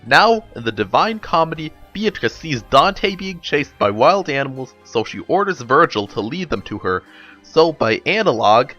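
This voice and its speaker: A man's voice: a little high, sing-song, normal speed, sharp tone, highs cut off, faint music behind, exaggerated voice. A man voicing a sardonic, blunt young woman who lectures knowingly in a dramatic storybook reading. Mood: sardonic, lecturing. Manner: wry, lecturing, profane.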